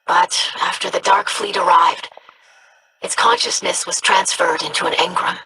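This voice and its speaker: A woman's voice: on the low side, steady tone, normal speed, vocal fry, bright, forceful tone, husky, heavily acted, rough recording. A woman voicing a scholarly, idealistic young alien scribe in a video game, speaking formally and precisely. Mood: scholarly, fascinated. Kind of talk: video game character dialogue.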